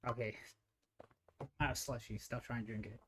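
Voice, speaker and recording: Man, live streamer, casual gameplay chatter, rambling, thinking aloud, mid-pitched voice. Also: fairly even intonation, moderate pace, noisy background.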